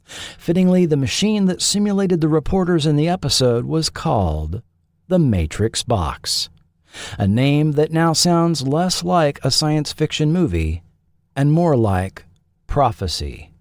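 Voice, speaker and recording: A man hosting and narrating a scripted documentary feature in a measured, articulate way. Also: a little high, big pitch swings, no room sound, clean studio sound. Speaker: podcast host and narrator.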